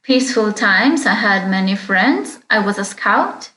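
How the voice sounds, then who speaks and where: Woman interpreter, measured and steady, mid pitch, echoey room sound. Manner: steady, measured, with small fillers. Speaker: interpreter and moderator. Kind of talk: consecutive interpreting in a webinar.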